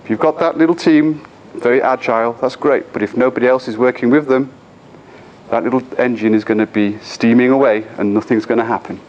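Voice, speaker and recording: A man's voice: medium pitch, fairly even intonation, brisk, a little mellow, dull audio, a little hiss or hum. A middle-aged man from England with an English accent, giving a conference talk and often checking in with the audience. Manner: engaging, asks the audience frequent questions. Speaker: conference speaker. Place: conference room.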